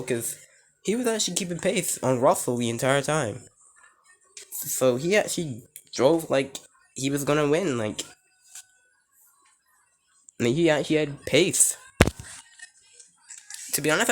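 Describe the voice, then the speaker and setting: Hoarse, excitable man reacting. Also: mid-range voice. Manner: excitable and rambling, many restarts and "like"s. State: hoarse, voice almost gone. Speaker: racing fan reviewing a race. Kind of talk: casual reaction commentary.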